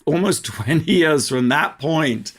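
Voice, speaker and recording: A man's voice: on the high side, expressive, a little slow, slightly rough. A man talking casually as a guest in an interview. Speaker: podcast guest, TV production company co-founder.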